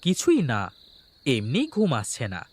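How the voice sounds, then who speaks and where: Man acting anxious village boatman, swooping pitch. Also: wildly swooping pitch, unhurried, a little mellow, full character voice. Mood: preoccupied. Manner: pleading, exclaiming, deferential. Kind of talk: Bengali fairy-tale cartoon dubbing.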